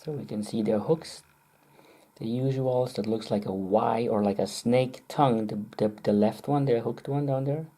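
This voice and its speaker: A person muttering a casual, halting running commentary, often clearing the throat. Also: medium pitch, mellow. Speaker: video maker demonstrating close-up specimens.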